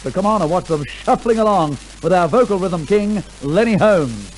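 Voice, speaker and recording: A man comperes a vintage radio variety show. His voice reads very high for a man, and loud music plays right behind him. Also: expressive, moderate pace, low-quality recording. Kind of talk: old-time radio show introduction.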